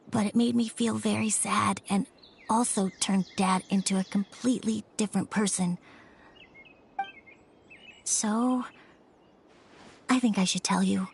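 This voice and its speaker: A woman voicing a gentle young daughter in a video game, soft, hesitant and earnest. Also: mid-range voice, vocal fry, slight background noise.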